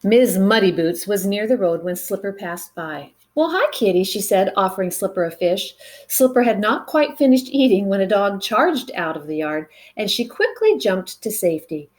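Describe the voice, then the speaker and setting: Woman reading a kids picture book out loud, mid pitch, animated, little asides and questions to the kids. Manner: animated, dramatic pauses, engages young listeners with questions. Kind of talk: expressive children's read-aloud with chatty asides.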